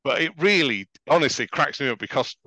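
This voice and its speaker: A middle-aged man with a clearly bright, forward voice at an average pitch. He chats casually as a podcast co-host, often checking in with a "right?", and the sound is dry and close. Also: animated, brisk, dry recording. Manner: chatty, often tags phrases with "right?". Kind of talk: casual chat.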